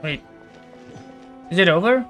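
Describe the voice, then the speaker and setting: A woman's voice: fairly low pitch, animated, measured pace, fairly bright tone. A woman giving casual commentary as she plays through a video game. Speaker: gaming streamer. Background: game music.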